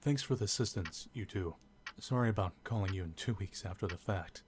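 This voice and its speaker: An actor playing a businesslike investigator in a game, with a casual, probing, plainspoken manner. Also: male voice, sits low, a little fast, lightly acted. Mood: inquisitive, businesslike. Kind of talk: game drama dialogue. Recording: clear ticking background noise.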